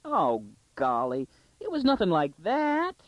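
A man's voice: high-pitched, huge pitch swings, slow, dry recording, highs cut off, voice pushed up, full character voice. A man voicing a precocious, self-assured young girl in a storybook, prim, bossy and easily indignant. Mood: anguished.

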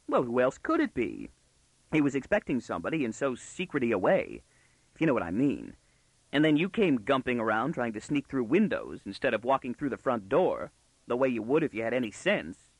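A man's voice: medium pitch, huge pitch swings, fairly quick, breathy edge, no room sound, heavily acted, rough recording. A man voicing a wry young male intruder in a storybook, gritty and sardonic with mocking courtesy. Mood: gruff, sardonic.

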